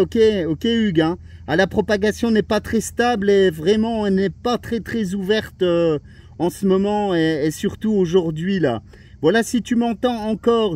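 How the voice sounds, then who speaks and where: A man with a fairly high, mellow voice talks casually as an amateur radio operator. He tends to repeat short words and phrases as he goes. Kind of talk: casual radio contact chat.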